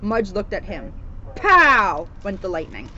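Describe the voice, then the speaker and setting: A woman reading a children's storybook aloud in an animated, expressive way, with emphasis on the sound words. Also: medium pitch, slow. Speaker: storybook reader, police officer. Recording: noisy, dull outdoor recording.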